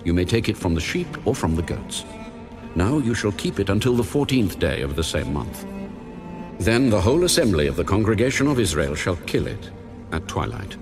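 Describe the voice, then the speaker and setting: An actor playing God as a majestic, stern male lawgiver, making solemn, deliberate pronouncements in a dramatized audio Bible. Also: low-pitched, big pitch swings. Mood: solemn, instructing. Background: music.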